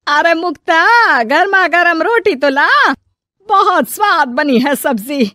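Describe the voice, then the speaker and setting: An affectionate elderly mother-in-law played by a woman in a cartoon family story. Her high voice is fussy and homely, full of exclamations. The sound is close and dry. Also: animated, slow, bright, forceful tone, dry recording, full character voice. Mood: calling out.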